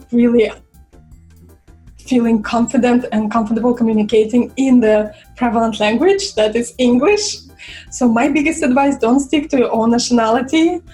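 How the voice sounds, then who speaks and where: A young woman from Russia with a Russian accent, chatting casually to camera and pausing for words. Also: fairly high pitch, dark tone, rough recording. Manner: chatty, searching for words with fillers. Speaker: vlogger.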